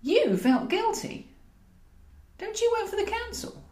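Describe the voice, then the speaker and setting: An elderly scheming woman, put on by a woman narrator for an audiobook. She is bossy and sardonic, with a lively, swinging pitch. The room rings a little around her. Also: measured pace, reverberant, heavily acted. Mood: incredulous. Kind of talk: character dialogue in an audiobook.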